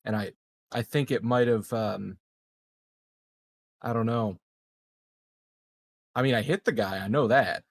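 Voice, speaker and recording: A man playing a tabletop roleplaying game, bantering casually in character in a deadpan, rambling way. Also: mid-range voice, unhurried, pauses often.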